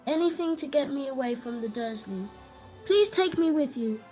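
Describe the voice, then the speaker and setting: A woman's voice: sits high, animated, moderate pace, a little mellow. A woman voicing an earnest, polite, curious young boy wizard in a fan comic dub. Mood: eager, earnest. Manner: earnest, simple, questioning. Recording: music under the voice.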